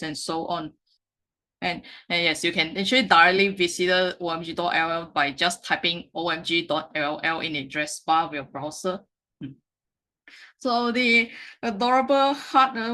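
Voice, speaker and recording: A woman, a Mandarin speaker, giving a slide presentation with frequent halting self-corrections. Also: fairly low pitch, bright, forceful tone. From Malaysia. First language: Mandarin Chinese. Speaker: meetup speaker.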